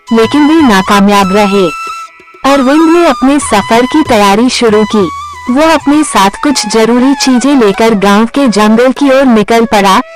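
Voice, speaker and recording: A storyteller narrates a scripted moral tale at a medium pitch. Background music plays behind the narration. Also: low-quality recording.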